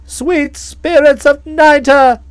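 A woman reader voicing an excitable, forceful older aunt who talks loudly and emphatically. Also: sits high, unhurried, no echo. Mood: agitated. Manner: loud, emphatic, impatient.

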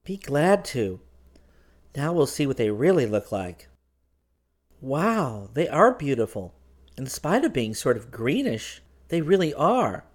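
A man voicing a good-natured, candid young woman in a light, unhurried manner for a storybook. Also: mid-range voice, sing-song, pitched above the performer's own voice. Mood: eager, admiring.

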